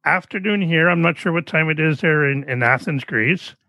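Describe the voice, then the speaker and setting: A man's voice: fairly high pitch, normal speed, bright, forceful tone, dry recording, low-quality recording. Male podcast host, easygoing, rambling delivery. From Canada. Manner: rambling, friendly, with restarts. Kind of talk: casual interview chat.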